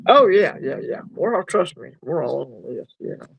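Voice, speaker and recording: A man hosting a casual livestream chat, rambling and easygoing, quick to laugh. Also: high-pitched, very animated, creaky, a little hiss or hum, low-quality recording. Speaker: livestream host.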